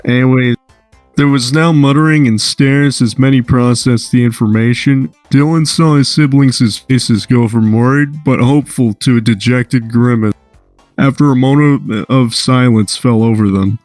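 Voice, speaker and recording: A man's voice: mid-range voice, moderate pace, darkish tone, dry recording, faint music behind. A man casually reading a story aloud, breaking off now and then to chat and swear. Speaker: amateur fan fiction reader.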